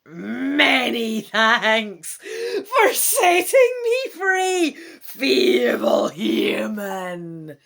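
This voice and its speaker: A woman voicing a huge, evil red dragon from a gamebook, scornful and gloating, with a roaring, drawn-out delivery. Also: fairly high pitch, wildly swooping pitch, vocal fry, fairly bright tone, pitched above the performer's own voice, exaggerated voice. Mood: roaring triumph.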